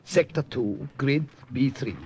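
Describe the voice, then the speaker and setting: Gravelly, anxious professor. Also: male voice, mid-range voice, takes it slowly, a little mellow, slight background noise. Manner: formal, uncontracted phrasing. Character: earnest, anxious European professor on the run. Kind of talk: 1950s radio drama acting. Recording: old radio recording with music behind.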